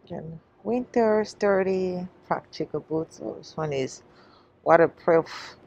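A woman's voice: fairly low pitch, slow, a little mellow, lo-fi sound. A woman casually chatting to viewers as she browses in a large store, musing aloud as a shopping vlogger. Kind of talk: casual shop-with-me vlog chat. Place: inside a large shoe store. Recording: faint background store noise.

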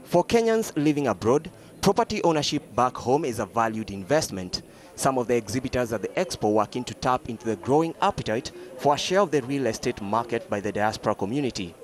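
Male reporter, formal news voice-over, measured read, mid pitch. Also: lively intonation. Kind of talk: scripted news narration.

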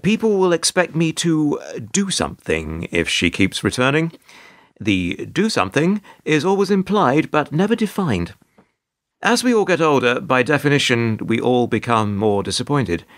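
Male actor as put-upon hotel receptionist for radio drama: resigned, wordy, lively intonation, dry close sound. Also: mid-range voice, moderate pace, no room sound. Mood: resigned, wry. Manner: wry, resigned, long-winded and formal. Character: world-weary, put-upon male hotel receptionist narrating his troubles. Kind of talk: radio play monologue and dialogue.

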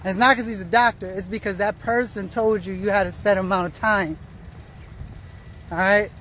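A man in his thirties with a high-pitched voice talks casually into a phone held at arm's length on a roadside path. He rambles in slangy, repetitive bursts, often breaking off and restarting a thought. Faint outdoor traffic and ambient noise runs behind him. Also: fairly even intonation, normal speed, fairly bright tone. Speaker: vlogger. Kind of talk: casual walking vlog monologue.

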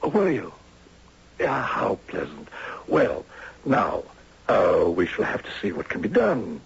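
An actor playing a smooth, evasive director of an old-age home in a 1970s radio drama, fussy and over-polite, hesitating and repeating himself. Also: male voice, lowish voice, huge pitch swings, measured pace, heavily acted. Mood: evasive, flustered. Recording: dull vintage radio broadcast.

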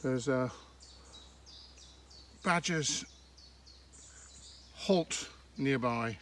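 A middle-aged man from England chatting casually as he films a country walk on his phone, pausing mid-sentence as he goes. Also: medium pitch, fairly bright tone. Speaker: walking vlogger. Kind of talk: casual walking commentary. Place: outdoors on country lanes and fields. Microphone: handheld phone camera while walking. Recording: faint outdoor background noise.

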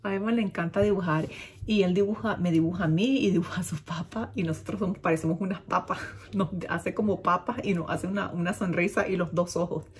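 A woman's voice: on the low side, steady tone, brisk, a little hiss or hum. A woman in her thirties from Nicaragua with a mild Nicaraguan Spanish accent, chatting to the camera from her bedroom, filling her talk with fillers and restarts. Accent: Nicaraguan Spanish, fairly neutral. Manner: chatty, gestures a lot, many fillers and restarts. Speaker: vlogger. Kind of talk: casual chat to camera. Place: bedroom at home, indoors. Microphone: camera at desk distance.

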